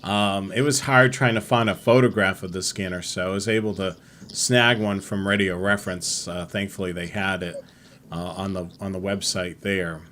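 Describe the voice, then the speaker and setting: A middle-aged man hosting a live-streamed hobby show, chatting in a relaxed, rambling way with many fillers. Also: sits low, normal speed, a little hiss or hum. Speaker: host.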